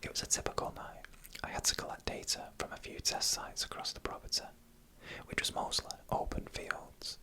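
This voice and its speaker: A narrator reading eerie stories in a hushed ASMR whisper, with deliberate pauses between phrases.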